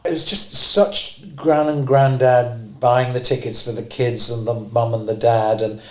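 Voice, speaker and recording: A pantomime actor reminiscing about the audiences in an interview. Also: male voice, mid-range voice, steady tone, unhurried, echoey room.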